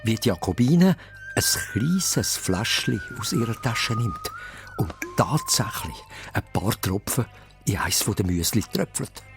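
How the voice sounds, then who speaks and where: A man's voice: on the low side, sing-song, unhurried, vocal fry, over loud music. A man with a Swiss accent narrating a children's radio play as a suspenseful story.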